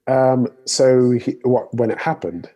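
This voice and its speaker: A man with an English accent, a doctor speaking in a panel discussion in a measured way, with many fillers. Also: medium pitch, warm tone, highs cut off.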